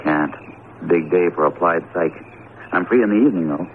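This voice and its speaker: Actor as low-voiced, wry radio-drama academic. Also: male voice, moderate pace, close to an everyday voice. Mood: businesslike. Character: wry, rational male academic friend and narrator. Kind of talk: 1970s radio drama acting and narration. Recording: noisy lo-fi radio broadcast.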